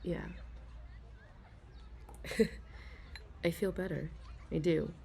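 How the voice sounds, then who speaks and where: A woman with a low voice that has a gravelly, fry-laced edge speaks slowly as a bashful office worker in a confiding ASMR role-play. She often stammers and starts over, and soft giggles break up her talk. A faint hiss of noise sits behind her. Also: fairly even intonation. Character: shy, fond female office worker.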